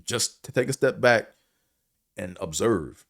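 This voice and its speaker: A man with a deep, low voice delivers a casual opinion monologue as a show host. He talks bluntly and swears freely, wandering between points with a slight stutter and filling gaps with "man" and "you know". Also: expressive, unhurried, vocal fry. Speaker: show host reviewing a series.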